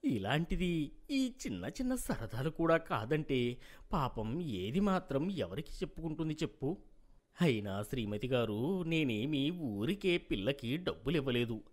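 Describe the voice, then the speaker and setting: An actor playing a gentle, indulgent middle-aged father and husband in an animated story, coaxing and soothing. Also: fairly high pitch, unhurried. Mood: placating.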